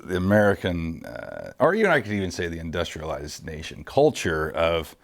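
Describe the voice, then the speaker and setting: A man's voice: low-pitched, big pitch swings, moderate pace, creaky. A man in his early forties hosting a casual interview, rambling and correcting himself, laughing easily. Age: 40s. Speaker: host.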